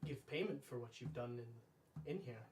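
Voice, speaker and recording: A man in his thirties narrates a tabletop game with measured, formal phrasing. His medium-pitched voice sits a little far from the mic in a roomy space, with faint noise. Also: animated, darkish tone. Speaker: game master. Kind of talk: tabletop roleplay narration, speaking as a fae ruler. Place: indoor room, live-streamed tabletop game.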